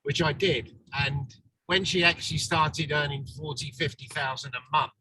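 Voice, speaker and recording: A man's voice: a little high, steady tone, moderate pace. A man speaking bluntly and plainly over a video call while driving his car. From England. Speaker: guest's husband. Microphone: phone on a video call. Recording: distant, noisy car call.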